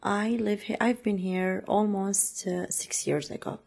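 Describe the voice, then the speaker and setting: Slow, halting woman from Iraq. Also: medium pitch, steady tone, gravelly, a little mellow. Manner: halting, many fillers and restarts, second-language phrasing. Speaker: interviewee. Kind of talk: personal story, casual.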